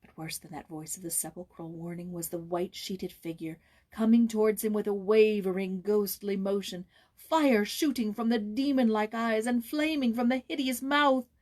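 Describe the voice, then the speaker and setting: A woman narrating a storybook in a theatrical, expressive way, with a husky voice. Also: medium pitch, moderate pace. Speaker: audiobook reader, voice actor. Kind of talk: dramatic scripted storybook narration.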